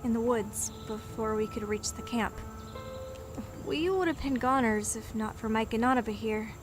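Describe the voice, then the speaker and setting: A woman's voice: on the high side, normal speed, over music, close to an everyday voice. A woman playing a shaken, anxious young survivor in an audio drama, hesitant in her speech. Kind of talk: audio drama acting, anime fan parody.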